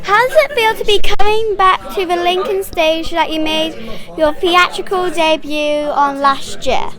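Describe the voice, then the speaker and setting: A woman's voice: very high-pitched, unhurried, crowd noise. A young female interviewer who asks prepared questions and chats casually.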